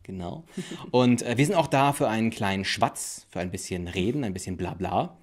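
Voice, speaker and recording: Lively man presenting in casual chat. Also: fairly low pitch, moderate pace. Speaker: presenter, writer and actor. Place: living room, indoors.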